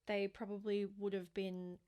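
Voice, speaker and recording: A woman's voice: mid-range voice, little pitch movement, moderate pace, mellow, clean tone. A woman co-hosting a true crime podcast, reacting and commenting in a casual, somewhat tentative conversational way.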